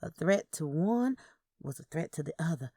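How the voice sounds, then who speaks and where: Female story narrator, lower pitch, pronounced vocal fry, scripted first-person telling, dry sound. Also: expressive, normal speed, mellow, dry recording.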